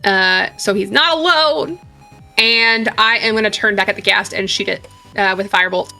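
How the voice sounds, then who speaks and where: A woman with a bright, forward voice at a middle pitch plays a tabletop game. She talks casually, and soft music sits behind her. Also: normal speed, vocal fry. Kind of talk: casual chat during a game.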